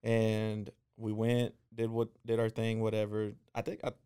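Smooth-voiced man in his thirties. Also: fairly low pitch, monotone, warm tone. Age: 30s. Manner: halting, many restarts and fillers. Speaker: guest singer and DJ. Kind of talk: casual interview chat.

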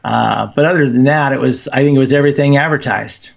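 A man talking casually on a podcast as a guest, animated and halting, with many fillers. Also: mid-range voice, creaky. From Southern California. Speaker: guest fan. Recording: dull lo-fi line.

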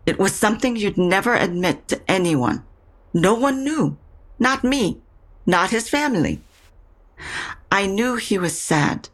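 An actress playing a guarded, brittle widowed mother of a grown daughter in naturalistic audio drama dialogue. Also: mid-range voice.

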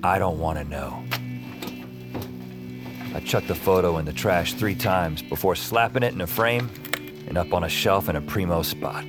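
A man's voice: low-pitched, normal speed, pauses often, music in the background, lightly acted. An actor playing a wry, self-deprecating young man who narrates his strange adventures in a dry, conversational audio drama style. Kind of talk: first-person scripted audio drama narration.

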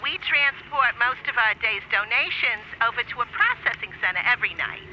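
An actress playing a cheerful, helpful clinic receptionist in an audio drama, polite and upbeat in a customer-service manner. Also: female voice, on the high side, animated, brisk, dull audio, background noise, close to an everyday voice. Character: cheerful, helpful blood donation center receptionist woman. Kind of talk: audio drama phone scene.

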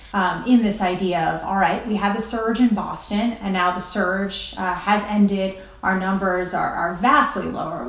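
American woman doctor in an echoey room. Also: on the low side. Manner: measured, reflective, searching for words. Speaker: interview guest, critical care doctor. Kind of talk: thoughtful interview answers. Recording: roomy, echoey remote sound.

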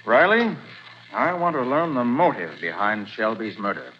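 Actor voicing a confident male detective, brisk, animated delivery, bright tone, faint-hiss 1940s broadcast. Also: mid-range voice, lightly acted. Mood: assured. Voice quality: brisk and assured. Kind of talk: 1940s radio acting. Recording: muffled old radio broadcast, faint hiss.

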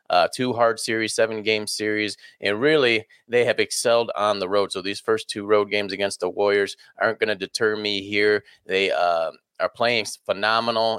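Low-voiced, confident male betting analyst. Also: smooth, no echo. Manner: confident, steady, reasoned with fillers. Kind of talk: casual analysis on a show.

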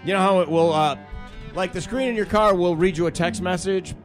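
A man hosting a radio show, telling stories and bantering casually and comedically in an animated way. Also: sits high, fairly quick, over music. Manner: animated storytelling. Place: radio studio.

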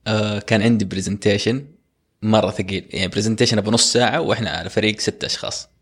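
A young man, co-hosting a casual podcast and telling personal stories in a lively way. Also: lowish voice, racing, breathy edge. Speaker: podcast co-host.

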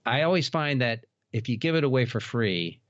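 A man speaking as a podcast guest in a casual, folksy, somewhat halting way, slightly dull recording. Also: medium pitch, lively intonation, fairly bright tone, dry recording. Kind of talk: casual interview chat.

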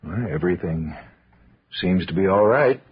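Very husky, low-voiced uneasy scientist. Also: male voice, animated, heavily acted. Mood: uneasy, reassuring. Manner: halting, measured. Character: uneasy but reassuring male robotics scientist. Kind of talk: 1950s radio thriller acting. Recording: muffled old radio recording.

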